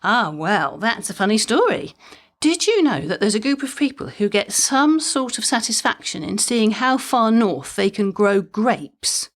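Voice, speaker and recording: A chatty, mischievous mother played by a woman in a comic audio drama. Her melody is lively and swinging, while the delivery stays conversational and drily funny. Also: mid-range voice, measured pace. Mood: mischievous storytelling. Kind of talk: audio drama comedy dialogue.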